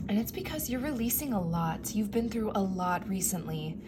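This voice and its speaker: Soft-spoken woman losing her voice. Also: mid-range voice, expressive, moderate pace, noisy background. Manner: soft, affirming, often dropping to a whisper. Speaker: tarot reader. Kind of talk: pick-a-card tarot reading.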